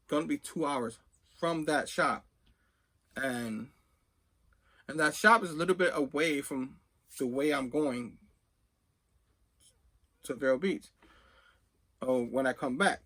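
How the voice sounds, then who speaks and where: Bright-voiced middle-aged man telling stories. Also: fairly high pitch. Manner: rambling, conversational, often acting out reported dialogue. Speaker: host of a comics collecting video channel. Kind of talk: casual personal storytelling to camera.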